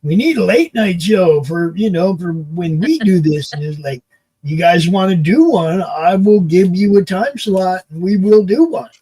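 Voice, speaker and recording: A man's voice: high voice, lively intonation, unhurried, warm tone, breathy edge, no room sound, rough recording. A man in his early sixties chatting casually as the host of a streaming panel show, often repeating his phrases as he explains things. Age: early 60s.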